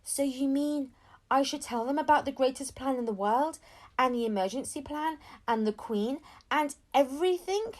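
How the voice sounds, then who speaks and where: A woman voicing an excitable, curious primary-school child in a storybook reading. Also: high-pitched, normal speed, pitched above the performer's own voice, close to an everyday voice. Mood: excited, scared.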